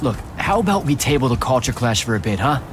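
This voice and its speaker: A man's voice: medium pitch, very animated, fast talker, noisy background, lightly acted. A man playing an earnest, plain-spoken young soldier hero in a video game cutscene, casual and direct. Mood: conciliatory.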